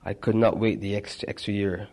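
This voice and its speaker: A man reading a personal memoir aloud, measured, with small stumbles and restarts. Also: on the low side, level tone, darkish tone. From Barbados. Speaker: narrator.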